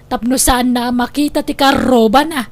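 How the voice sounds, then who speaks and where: A very high female voice with an Ilocano accent acting as a village neighbour in a comedy drama. She is outspoken and gossipy, ready to stand up for herself. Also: fairly even intonation, normal speed, close to an everyday voice. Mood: gossipy, disapproving.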